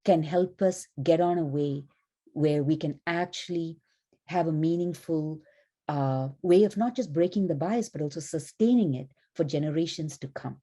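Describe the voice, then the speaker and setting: A woman panelist who grew up in India, speaking in a thoughtful, measured way with frequent "you know", joining a panel over a video call. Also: low-pitched, warm tone, husky. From born in Malaysia, grew up in India. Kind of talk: panel discussion remarks.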